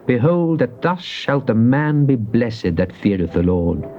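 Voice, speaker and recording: A man recites scripture as drama, in a solemn, declamatory way. His voice sits at a middle pitch and he leans slowly on key words. The recording is a muffled old cassette with slight background noise. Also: big pitch swings. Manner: solemn, declamatory, with drawn-out emphasis. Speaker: scripture reader. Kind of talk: dramatized recitation of Bible psalms.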